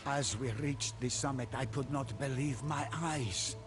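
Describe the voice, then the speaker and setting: A solemn soldier telling a dark tale voiced by a man in a medium-pitched voice. He narrates very slowly and deliberately, with theatrical weight, like a game cutscene. Music plays clearly beneath the studio narration. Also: natural voice. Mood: solemn, wondering. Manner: measured, theatrical. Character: soldier recounting a mysterious adventure, solemn storyteller. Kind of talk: dramatic scripted storytelling.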